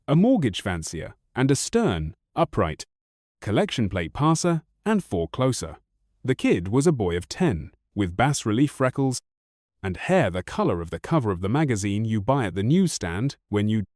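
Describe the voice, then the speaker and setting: A man narrating an audiobook story in a wry, expressive first-person manner, recorded close on a studio mic. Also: on the low side, no room sound.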